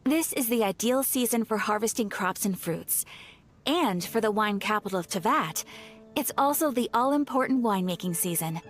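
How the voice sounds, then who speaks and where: A woman playing a polite, formal guild receptionist in a video game, courteous and measured. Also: medium pitch, animated, faint music behind, lightly acted. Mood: polite, composed.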